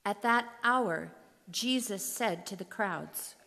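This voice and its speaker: A woman narrating scripture in a deliberate, clearly phrased way, in a reverberant hall. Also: medium pitch, sharp tone. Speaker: narrator in a church readers' theater.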